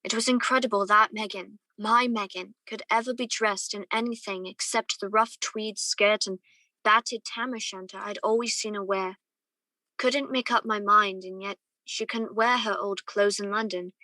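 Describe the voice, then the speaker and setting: A woman acting a conflicted young gentleman in love, who narrates his own story in a radio play. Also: medium pitch, steady tone, normal speed, dry recording, natural voice. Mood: wistful narration. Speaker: student or guest actor in a radio play.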